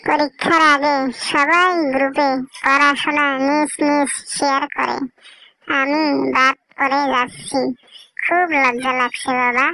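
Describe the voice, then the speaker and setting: A very high-pitched, bright schoolboy from a moral cartoon, acted out by a woman. He pleads earnestly and eagerly, drawing the words out slowly. There is some faint noise behind the voice. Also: level tone, full character voice, rough recording. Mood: distressed pleading. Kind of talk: slow scripted dialogue in a moral cartoon.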